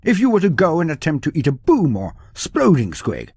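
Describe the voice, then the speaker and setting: A man's voice: a little high, wildly swooping pitch, brisk, creaky, a little mellow, husky, dry recording. A man delivering dramatic scripted fantasy narration in a grandiose, wry manner with ornate old-fashioned phrasing. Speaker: storyteller, narrator of fantasy war lore.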